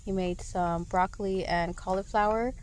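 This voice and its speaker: A young woman in her twenties, narrating a travel vlog in a relaxed, matter-of-fact way, filming herself on a phone inside a parked truck. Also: medium pitch, moderate pace, slight background noise. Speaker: travel vlogger.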